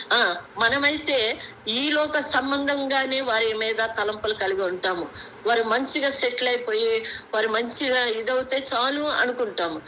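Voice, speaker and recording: A woman gives an extemporaneous Bible teaching over a noisy conference call, halting and repeating herself with rhetorical questions. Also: fairly high pitch, bright, forceful tone. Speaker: lay preacher. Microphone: conference phone call.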